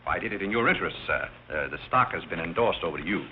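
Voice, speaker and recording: Courteous, composed butler, 1930s screen comedy, deep and measured, narrow hissy old soundtrack. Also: male voice, lively intonation. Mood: deferential, assured. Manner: polite, measured, understated. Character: courteous, composed, wise butler of privileged birth. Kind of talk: 1930s film comedy acting.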